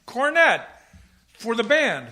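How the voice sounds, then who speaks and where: Man acting as desperate ageing musician father, radio comedy; high, swooping voice, melodramatic and flustered. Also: very animated, slow pace, sharp tone, heavily acted. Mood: panicked. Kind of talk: comic radio play acting.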